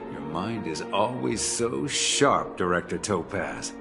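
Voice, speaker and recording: An actor playing a deferential male subordinate in a studio game, flattering and hesitant. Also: low voice, slow, creaky, heavily acted. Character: deferential male subordinate, nervous, eager to please. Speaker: game character. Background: game music.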